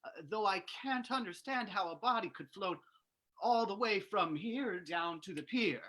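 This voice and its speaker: A woman's voice: medium pitch, animated, moderate pace, a bit bright. A woman playing a fussy, sympathetic male hotel manager with a stammering, hesitant manner in an old-time radio play reading. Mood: flustered, sympathetic.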